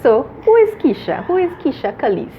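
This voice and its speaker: A woman hosting a talk show, earnest and measured, laughing often with her guest. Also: high-pitched, big pitch swings, phone-quality sound, a little hiss or hum. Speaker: host.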